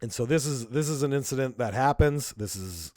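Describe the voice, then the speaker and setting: Animated, indignant man on a podcast. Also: mid-range voice, brisk, dry recording. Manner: emphatic, indignant, lots of restarts. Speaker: podcast co-host. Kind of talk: casual political commentary.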